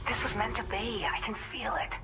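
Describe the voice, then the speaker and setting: A woman's voice: medium pitch, moderate pace, background noise, close to an everyday voice. An actress playing a kind, composed woman prospector and inventor in a video game, speaking politely and articulately in an explanatory way. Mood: relieved, amazed. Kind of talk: scripted video game cutscene dialogue.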